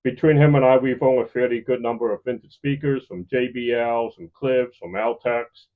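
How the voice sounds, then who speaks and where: Male host for a hobby hi-fi channel, mid-pitched, presenting to viewers, muffled lo-fi sound. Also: normal speed. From lives in the Chicago area, United States. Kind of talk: semi-scripted presenting to viewers. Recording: slightly dull, lo-fi voice recording.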